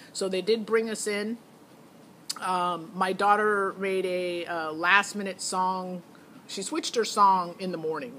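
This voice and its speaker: A woman's voice: fairly low pitch, a bit bright, rough recording. Middle-aged woman chatting over background noise. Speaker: parent recounting a child's audition. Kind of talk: casual chat, personal storytelling.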